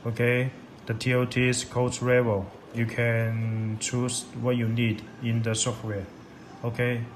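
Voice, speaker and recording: Male product demonstrator, halting non-native English, instructional step-by-step delivery, roomy sound with clear background noise. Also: medium pitch, monotone. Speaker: product demonstrator for a radio seller. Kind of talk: step-by-step software tutorial. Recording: echoey room, loud background noise.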